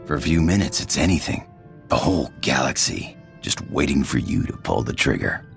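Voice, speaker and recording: A swaggering rogue pirate from a sci-fi game. His voice is extremely deep and full of gravel, and he drawls casual slang with tough, flirtatious confidence. Faint music plays underneath. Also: sing-song, moderate pace, heavily acted. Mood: relishing, relaxed. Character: cocky roguish space pirate, tough flirtatious adult man. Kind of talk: video game character acting.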